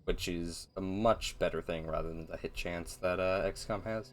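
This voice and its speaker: A man giving casual, rambling gameplay commentary in a flat, monotone voice, with a self-deprecating manner. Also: sits low, normal speed, soft background music. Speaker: gaming video host.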